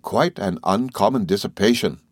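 A meek little country doctor from a storybook, as a male reader puts him on, slow and hesitant, apologizing with every phrase. His voice is lifted a little above a man's lowish speaking tone, soft and deferential. It sits close and dry, with no room around it. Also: lively intonation, no echo, lightly acted. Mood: meek, fluttered.

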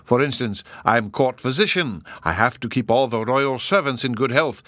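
A man's voice: medium pitch, expressive, normal speed, a bit bright, dry recording, natural voice. A man voicing a cheery, chuckling, absent-minded old professor in an audiobook. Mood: chattering. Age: older. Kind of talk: audiobook character voice.

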